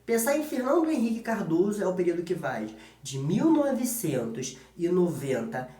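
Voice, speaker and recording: A man's voice: high voice, big pitch swings, normal speed, dark tone. A man with a Brazilian accent giving an informal history exam-prep lesson as a teacher, colloquial and often checking in with short tag questions. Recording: echoey room.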